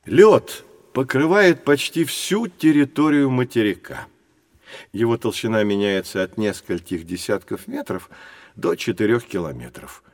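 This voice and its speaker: A low male voice with a very lively melody and a dry, close sound. He is patient and didactic, pausing for emphasis as he explains to children in an educational audio drama. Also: dry recording. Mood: patient, explanatory. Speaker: teacher.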